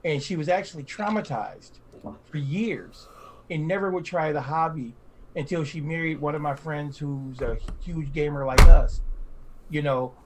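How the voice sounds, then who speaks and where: A man's voice: a little high, slight background noise, low-quality recording. A man in his fifties or older talking emphatically in a casual discussion, repeating phrases for stress. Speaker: podcast panelist.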